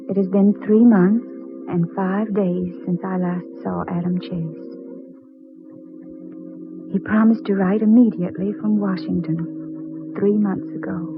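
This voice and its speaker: An actress playing a devoted, anxious young woman in love, speaking earnestly and emotionally in a 1940s radio drama. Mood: forlorn. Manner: emotional, dramatic, with halting pauses. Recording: muffled, very low-fidelity old radio, faint music.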